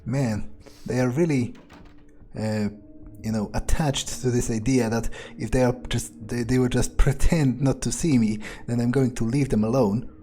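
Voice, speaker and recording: A cruel, entitled vampire voiced by a man muses aloud in a video game roleplay. The voice is dark and soft-edged at a middling pitch. The delivery is deliberate and condescending, with pauses for thought. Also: steady tone, natural voice. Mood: contemptuous. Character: cruel, arrogant male vampire, coolly menacing, entitled.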